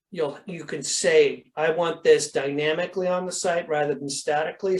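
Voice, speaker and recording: Instructor, mid-pitched, informal coaching Q&A on a video call, explanatory and conversational. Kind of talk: informal question-and-answer coaching.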